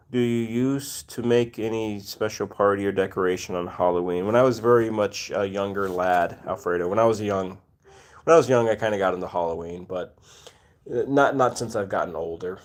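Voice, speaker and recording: A man in his forties hosting a casual cat livestream, rambling with fillers and restarts and talking to his cats between viewer comments. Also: fairly low pitch. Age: 40s. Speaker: cat channel livestream host.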